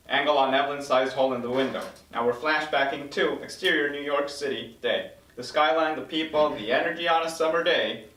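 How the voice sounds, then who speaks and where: A man narrates the action of a TV script as scripted stage directions. His voice has a medium male pitch. It sounds roomy and slightly away from the microphone. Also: pushed and bright, distant mic. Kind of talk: scripted narration at a table read. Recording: echoey room, slightly off-mic.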